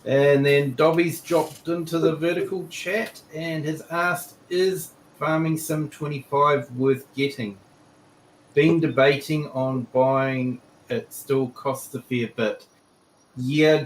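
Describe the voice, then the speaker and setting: A man's voice: mid-range voice, echoey room, slight background noise, rough recording. A man casually chatting on a live game stream, reading chat aloud with lots of ums. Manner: unhurried, reads chat aloud, frequent ums.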